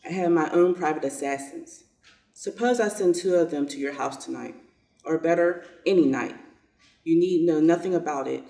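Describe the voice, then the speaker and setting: A man playing a self-interested, lordly old general in a reader's theater piece, pompous and deliberate. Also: fairly high pitch, gravelly, dark tone. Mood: coolly scheming. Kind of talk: reader's theater stage reading. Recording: echoey room, distant mic.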